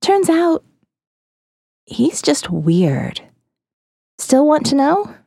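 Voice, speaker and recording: A woman's voice: high voice, sing-song, extremely slow, gravelly, mellow, dry recording, clean studio sound, pitched above the performer's own voice, heavily acted. A woman voicing a candid, amused and wistful young woman in an audio novel, with a shrugging manner that tends to trail off. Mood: indifferent, candid.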